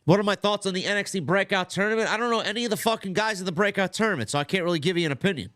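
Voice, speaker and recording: A man's voice: high voice, racing, fairly bright tone, no room sound, studio quality. A man from New York hosting a casual watch-along livestream, blunt and profane, sounding stuffy and nasal from congestion. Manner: blunt, swears a lot, restarts often. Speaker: livestream host.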